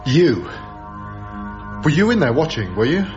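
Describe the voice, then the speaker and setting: A man acting in a radio drama as an earnest, haunted young lodger, hushed and deliberate in his delivery. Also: fairly high pitch, very animated, muffled recording, over music. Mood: agitated, pleading. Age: young adult.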